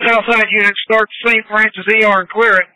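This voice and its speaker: A man's voice: sits high, flat delivery, brisk, pushed and bright, no room sound, low-quality recording. A man speaking as a police officer over the radio, giving clipped, commanding calls. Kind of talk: urgent police radio traffic.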